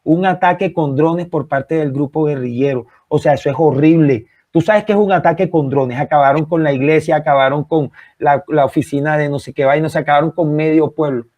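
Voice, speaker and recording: A man's voice: fairly high pitch, fairly quick, hardly any pauses, darkish tone. A man from Colombia with a Caribbean accent, answering in an interview as a writer, emphatic and repeating phrases for effect.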